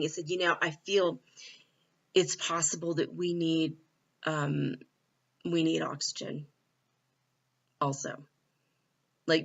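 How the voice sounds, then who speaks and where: A woman's voice: on the low side, a bit bright. A middle-aged woman from California talking casually and candidly into her laptop, with many fillers and little laughs. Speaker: vlogger. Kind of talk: casual spiritual vlog. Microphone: laptop built-in mic. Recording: dull lo-fi laptop mic.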